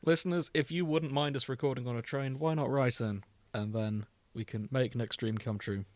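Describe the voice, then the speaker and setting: A man with an average-pitched voice co-hosts a casual fan podcast. He speaks in a loose, conversational, jokey way. The sound is dry, with hardly any room on it. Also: steady tone, normal speed, no echo. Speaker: podcast co-host. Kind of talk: casual banter about fandom.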